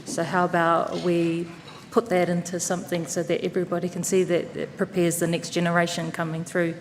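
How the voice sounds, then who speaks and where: A woman with a New Zealand accent gives a relaxed speech from a conference stage, with many ums and Māori words. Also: fairly low pitch, fairly quick. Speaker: conference presenter. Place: conference hall with audience. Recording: reverberant hall with crowd and faint noise.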